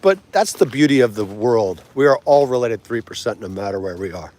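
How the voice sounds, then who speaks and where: A man's voice: lowish voice, expressive, a little mellow. A man, hosting a casual livestream, laughing easily and chatting with viewers. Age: 40s. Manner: chatty, laughs easily, tries foreign words slowly. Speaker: livestream host.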